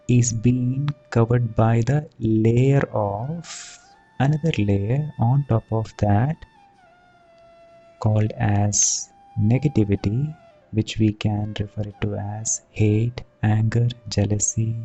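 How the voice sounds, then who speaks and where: Slow, mellow man guiding meditation. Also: on the low side, fairly even intonation, breathy edge, rough recording. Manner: soothing, deliberate, short phrases with long pauses. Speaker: yoga and meditation teacher. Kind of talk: guided meditation instruction. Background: background music.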